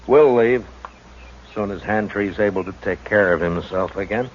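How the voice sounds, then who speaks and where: A man playing a steady, stern frontier marshal who speaks calmly and with authority in a 1950s radio drama. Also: lowish voice, natural voice. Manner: calm, measured, authoritative. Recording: dull old radio recording with hiss.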